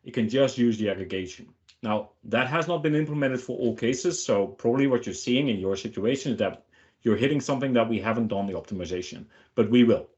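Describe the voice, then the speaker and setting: A man's voice: mid-range voice, a little fast, darkish tone, dull audio. A man giving a casual online tech talk and answering questions in an informal, joking way with short emphatic phrases. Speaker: featured speaker answering questions.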